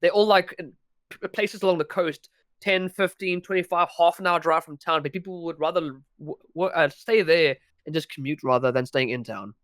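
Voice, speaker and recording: A man's voice: on the high side, normal speed, a bit bright, no room sound. A young man from South Africa with a South African accent, chatting as a podcast guest, talking freely with frequent restarts and laughs. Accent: South African English. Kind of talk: casual podcast chat.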